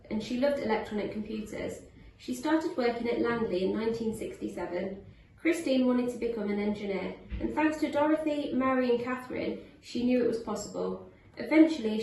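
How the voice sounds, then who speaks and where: Woman, school storytime reader, slightly high voice, very echoey room with faint noise. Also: a little mellow, distant mic. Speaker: school staff member reading aloud. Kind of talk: storybook read-aloud.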